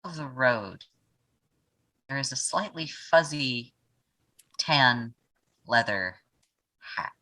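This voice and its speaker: A woman playing a tabletop role-playing game, improvising the story casually and haltingly as she thinks aloud. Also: rumbling low, lively intonation, pushed and bright.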